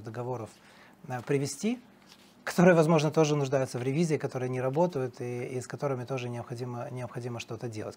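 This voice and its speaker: Middle-aged guy on a tv studio panel, quick and gravelly, lapel mic. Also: mid-range voice, a little mellow, slight background noise. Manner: emphatic, many fillers and restarts. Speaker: political analyst guest. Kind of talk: studio interview.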